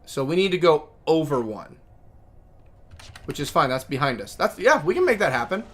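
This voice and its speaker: A man in his thirties chats casually while he streams a game. His voice sits a little on the high side, and he rambles as he thinks aloud. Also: very animated, moderate pace, a bit bright, breathy edge. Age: 30s. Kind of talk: casual livestream chat.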